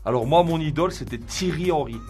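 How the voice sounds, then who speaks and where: A man's voice: on the high side, fast, darkish tone. Young man chatting casually over music. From Belgium, also Croatia. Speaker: interviewee in a couple's question game. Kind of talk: casual chat. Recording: music bed behind the voice.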